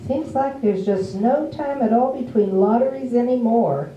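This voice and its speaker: Woman playing a folksy village housewife, very mellow. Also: fairly high pitch, animated, normal speed, lo-fi sound, close to an everyday voice. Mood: chatty. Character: plain-spoken folksy village housewife, neighborly. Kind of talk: amateur readers theater stage play. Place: live performance in a library room. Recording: roomy, distant, noisy live library recording.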